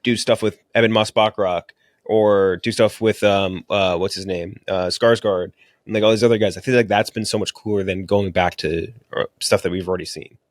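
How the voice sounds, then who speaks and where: A man's voice: lowish voice, fairly even intonation, moderate pace, dry recording, studio quality. A man co-hosting a movie podcast, chatting casually and bluntly about film and TV with slang and swearing. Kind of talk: casual opinionated film and TV chat.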